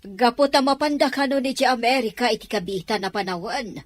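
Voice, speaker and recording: Outspoken, slightly high-voiced woman acted. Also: brisk, dry recording, lightly acted. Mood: disappointed. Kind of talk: Ilocano audio drama acting.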